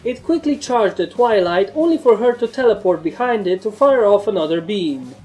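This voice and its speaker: A woman's voice: medium pitch, lively intonation, normal speed, a little mellow, soft background music, low-quality recording. A woman narrator reading a scripted first-person fantasy story.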